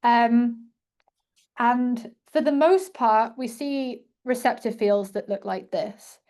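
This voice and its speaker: Young female academic, slightly high voice, chatty Q&A, lots of restarts. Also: takes it slowly, mellow. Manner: thinks aloud with frequent fillers and restarts. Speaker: invited speaker answering questions. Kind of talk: academic talk Q&A.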